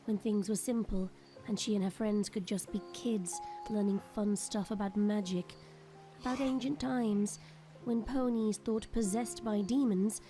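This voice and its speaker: A woman's voice: medium pitch, fairly even intonation, unhurried, dark tone. A woman reading scripted narration for an audio drama. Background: faint background music.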